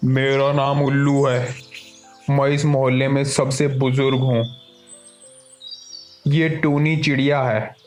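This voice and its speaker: A man voicing an elderly owl, the elder of the neighbourhood, in a measured, formal way for an animated moral story. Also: mid-range voice, soft background music, close to an everyday voice. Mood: questioning, measured.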